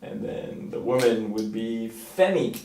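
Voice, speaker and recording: A man giving a casual explanatory monologue, halting as he thinks aloud and stressing key words. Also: lowish voice, expressive, warm tone, echoey room, a little hiss or hum. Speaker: video blogger.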